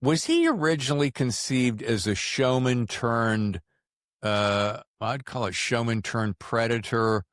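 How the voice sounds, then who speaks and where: A man's voice: medium pitch, lively intonation, a little slow, dry recording, studio quality. A man hosting a casual interview, talking in an effusive, colourful, joking way. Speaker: podcast host and interviewer.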